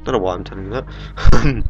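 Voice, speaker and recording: Man, young, stuffy nose from a cold, lowish voice, casual gaming commentary, game music underneath. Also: moderate pace, lo-fi sound. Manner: chatty, jokey, swears casually. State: bunged up with a cold. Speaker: let's play gamer. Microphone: desktop microphone.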